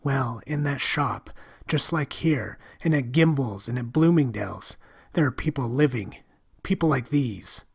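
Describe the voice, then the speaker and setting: A man voicing a frightened young woman, husky whispers. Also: mid-range voice, animated, unhurried, exaggerated voice. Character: timid frightened young woman hiding in a store. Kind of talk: storybook reading.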